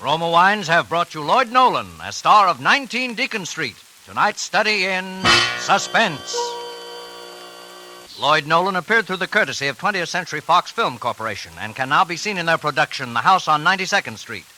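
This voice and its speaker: A man's voice: fairly high pitch, sing-song, moderate pace, rough recording. A man announcing in a formal, declamatory 1940s radio style. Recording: old radio broadcast under loud music.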